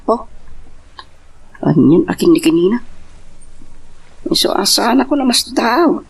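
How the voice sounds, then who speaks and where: Man doing a high-pitched, cheeky, leering workmate. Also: a little slow, gravelly, slightly rough, full character voice. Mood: gossipy. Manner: teasing, flirtatious, animated. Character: cheeky, leering male workmate, playful and nosy. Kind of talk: Ilocano audio drama acting.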